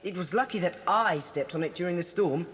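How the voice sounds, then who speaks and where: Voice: mid-range voice, a little fast, fairly bright tone, close to an everyday voice. An actor playing an earnest older boy adventurer in a 1980s children's audio drama. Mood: matter-of-fact. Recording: faintly noisy old cassette tape.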